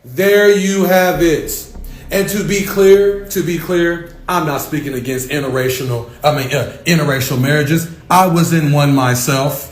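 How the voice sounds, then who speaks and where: A man with a fairly high voice comments off the cuff in an echoey room. He takes his time, halting and repeating phrases for emphasis. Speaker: commentator on a talk channel. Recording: roomy, echoing sound.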